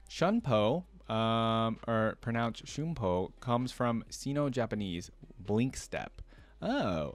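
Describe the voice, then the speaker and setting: A man's voice: lowish voice, no echo. A man hosting a reaction video in a casual, chatty way, reading aloud and adding his own musing asides. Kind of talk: casual commentary, reading aloud from wiki trivia.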